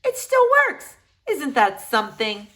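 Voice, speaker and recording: A woman voicing a bossy, excitable neighbor woman in a storybook, full of exclamations. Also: fairly high pitch, a little slow, full character voice. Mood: delighted.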